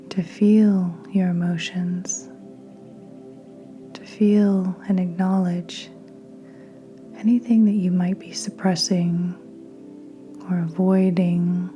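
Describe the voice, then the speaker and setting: A woman guiding a meditation in a soft, breathy near-whisper, gentle and soothing, with long unhurried pauses. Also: fairly low pitch. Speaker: podcast host and meditation guide. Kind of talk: guided meditation narration. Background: soft meditation music with singing bowls.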